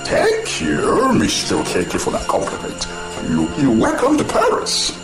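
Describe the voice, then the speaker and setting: A man's voice: medium pitch, wildly swooping pitch, a little slow. A man playing a polite, formal radio interview host who keeps apologizing and stumbling over his words. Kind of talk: amateur radio drama acting. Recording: echoey room with background music.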